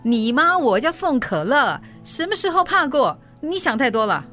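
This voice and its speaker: A woman playing a feisty, stubborn, middle-aged mother in a radio drama, with a Singaporean accent and a brisk, defensive, colloquial way of talking. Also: sits high, very animated, a bit bright, soft background music. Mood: teasing, dismissive. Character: feisty forgetful mother, stubborn, brushing off worry.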